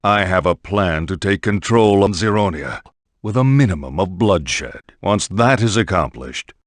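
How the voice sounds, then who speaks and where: A scheming military commander from a fantasy video game. He speaks in a fairly low register with cool, assured delivery. The recording is dry. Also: expressive, unhurried, no room sound. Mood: coolly confident.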